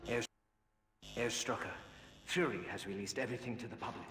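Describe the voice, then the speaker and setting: A man's voice: medium pitch, a little fast, reverberant, long reverb tail, lightly acted. An actor playing an anxious, deferential henchman doctor in a video game cutscene. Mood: worried.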